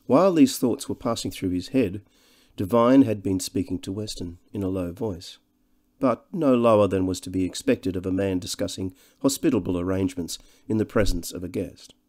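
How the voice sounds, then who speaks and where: A man's voice: lowish voice, mellow. A man reading literary narration as an audiobook narrator.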